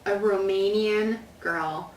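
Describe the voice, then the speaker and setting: A woman's voice: mid-range voice, fairly even intonation, slow pace, a little mellow, a little hiss or hum. A woman with a Chicago accent, Polish her first language, hosting an interview in a chatty, enthusiastic way. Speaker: interview host. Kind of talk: casual interview conversation. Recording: echoey room, mic at a distance.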